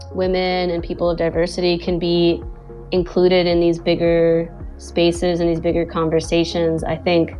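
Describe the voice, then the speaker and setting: Chatty woman, lower voice, many fillers. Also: darkish tone. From Venezuela. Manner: rambling, full of "like" and "you know". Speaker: guest animator and director. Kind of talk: casual chat in an online audio space.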